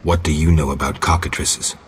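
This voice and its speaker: An actor playing a gruff, laconic monster-hunting mercenary in a video game, speaking tersely and dryly with a gravelly, husky voice. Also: male voice, extremely low pitch, fairly quick, a little hiss or hum. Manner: terse, dry, deadpan. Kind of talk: video game character acting.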